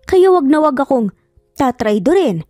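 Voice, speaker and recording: A woman's voice: high-pitched, sing-song, a little slow, mellow, no echo, studio quality, faint music behind. A woman voicing a cruel, rich older mother in a story, stern, sarcastic and commanding. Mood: angry, threatening.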